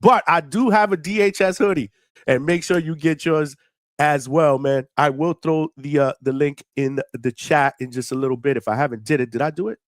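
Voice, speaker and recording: A middle-aged man hosting a live boxing call-in stream, chatting casually in hyped, slangy talk with shout-outs and free swearing. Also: on the high side, fairly even intonation, moderate pace, dry recording, studio quality. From New York City, United States. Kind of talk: casual livestream chat with viewers.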